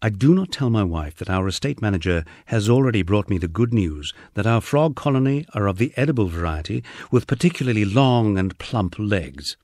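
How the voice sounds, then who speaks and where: A man reads a memoir aloud in a low, husky voice. His intonation rises and falls freely, giving the wry narration a storyteller's swing. The sound is dry and close, with hardly any room to it. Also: a little mellow, no room sound. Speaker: audiobook narrator. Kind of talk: scripted first-person memoir narration.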